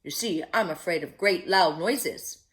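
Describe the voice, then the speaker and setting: A woman's voice: on the low side, measured pace, close to an everyday voice. A woman voicing a big but secretly fearful lion in a storybook, emphatic and confiding as it offers help. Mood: helpful, confiding. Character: big lion secretly afraid of loud noises, helpful.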